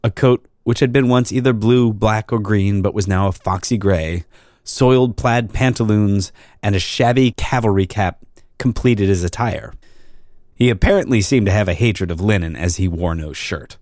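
A man narrating an old-fashioned drama in a formal, measured storytelling manner. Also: lowish voice, darkish tone, no echo, studio quality. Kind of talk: scripted literary narration of a drama.

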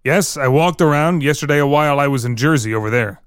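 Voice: mid-range voice, quick pace, clear, resonant tone, dry recording, natural voice. A guarded male prisoner acted out by a reader answers an interrogator in short, evasive replies. He is a German immigrant accused of murder, voiced for a dramatic reading, with a close, dry sound. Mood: evasive, terse.

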